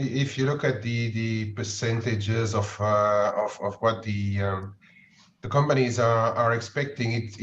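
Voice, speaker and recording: A man co-hosting a markets chat, talking haltingly with many fillers and restarts. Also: mid-range voice, far from the mic, lo-fi sound. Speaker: markets show co-host.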